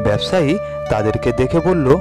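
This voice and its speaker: A man narrating a scripted moral tale as a storyteller for an animated cartoon. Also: medium pitch, a little fast. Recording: loud music under narration.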